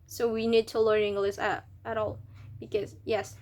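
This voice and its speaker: A woman's voice: mid-range voice, flat delivery, moderate pace, darkish tone. A young woman from Indonesia with an Indonesian accent, a university student chatting to camera, hesitant and restarting as she searches for words. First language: local Indonesian language. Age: young adult. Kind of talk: casual video talk to camera.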